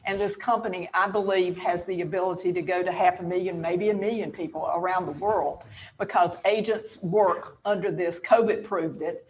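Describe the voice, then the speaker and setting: A woman's voice: lowish voice, fairly even intonation, moderate pace, a little mellow, slight background noise, poor audio. A woman in her sixties speaking candidly and emphatically on a panel about her career. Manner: emphatic and candid, laughs between points. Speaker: real estate agent on a panel. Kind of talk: panel talk, personal story.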